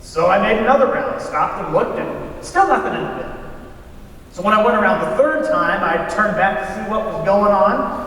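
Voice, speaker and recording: A man's voice: sits high, lively intonation, normal speed, fairly bright tone. A man performing in a readers theater, telling tales in a folksy stage storytelling style. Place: large hall, live stage reading. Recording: echoey hall from far off, faint noise.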